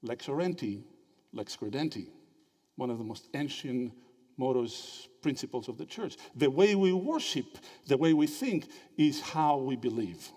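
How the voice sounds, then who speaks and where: A man from Greece giving a lively, interactive theology lecture and quizzing his audience with quick questions. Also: mid-range voice, slightly rough. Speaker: theology speaker at a symposium. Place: lecture hall. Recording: reverberant hall.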